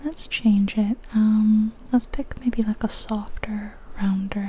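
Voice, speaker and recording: Woman, hushed ASMR whisper, very mellow, unhurried pace, gentle tentative musing, game commentary. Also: medium pitch, fairly even intonation, slight background noise. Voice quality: soft, breathy whisper. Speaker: ASMR video game creator, host.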